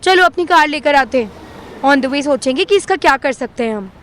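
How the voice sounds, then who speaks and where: Audio drama role: feisty, demanding young woman female actor, very high pitch, sarcastic Hinglish, faint music behind. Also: animated, normal speed, fairly bright tone. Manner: sassy, Hinglish-mixing.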